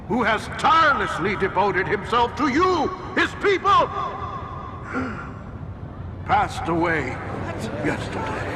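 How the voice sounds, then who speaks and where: A grandiose male royal advisor delivers a game cutscene oration. His voice rides high for a man, and he stretches phrases slowly with pompous weight, over clearly audible background noise. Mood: solemn, mournful. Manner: grandiose, pompous, oratorical, dramatic pauses. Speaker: royal advisor addressing citizens. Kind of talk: theatrical public speech, video game acting.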